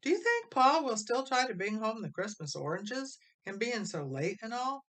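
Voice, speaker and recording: A woman's voice: fairly high pitch, very animated, moderate pace, fairly bright tone, voice pushed up. A woman voicing an earnest young farm boy in a storybook, anxious and plaintive.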